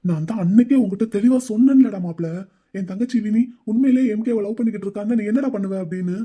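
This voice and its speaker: A defensive brother-in-law, played by a man in an audio drama, caught in a family quarrel. He pleads in a high voice and talks fast. He keeps trying to explain himself. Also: dark tone, husky. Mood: pleading.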